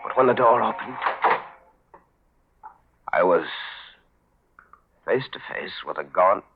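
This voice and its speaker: An actor playing a distraught, suspicious American husband in an intense, dramatic 1940s radio mystery style. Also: male voice, on the low side, animated, takes it slowly, background noise. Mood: haunted narration. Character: distraught, suspicious husband searching for his vanished wife. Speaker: actor narrating as the lead. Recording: muffled old 1940s radio broadcast.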